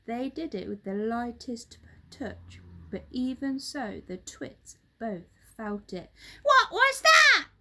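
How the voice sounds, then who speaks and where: A woman reading a children's story aloud expressively, with dramatic, deliberate phrasing. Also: a little high, vocal fry, dark tone.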